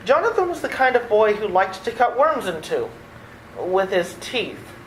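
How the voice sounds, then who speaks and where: A woman's voice: mid-range voice, sing-song, normal speed, natural voice. A woman playing an exasperated, wry and incredulous nephew in a radio play. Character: exasperated, flustered nephew, an adult man. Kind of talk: old-time radio play acting. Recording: echoey room, slight background noise.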